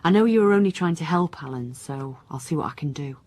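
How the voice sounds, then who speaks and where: A weary woman detective in a radio drama. Her voice sits fairly low, and she speaks naturally, like a boss worn down by the job and blaming herself. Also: lively intonation, fast, darkish tone. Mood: weary, subdued. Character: weary, self-critical female police detective boss.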